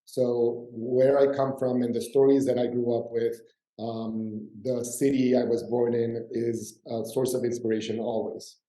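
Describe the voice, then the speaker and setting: A man from Mexico City answers a panel question about his perfume work. His voice is very dark and mellow, and it sounds roomy and echoey. He speaks reflectively and pauses often. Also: medium pitch, level tone. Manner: reflective, with frequent fillers and restarts. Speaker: perfumer and brand founder.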